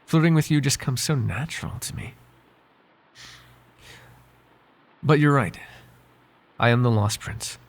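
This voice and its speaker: A man playing a devoted, flirtatious butler with a secret in an intimate ASMR roleplay, speaking softly in polite, formal phrases with halting hesitations. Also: mid-range voice, lightly acted. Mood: fond, flirtatious. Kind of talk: ASMR roleplay voice acting, intimate one-sided dialogue.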